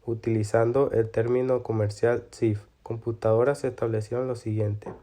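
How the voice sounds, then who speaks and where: A man's voice: medium pitch, dark tone. A man presenting a scripted explanation in an instructional reading style.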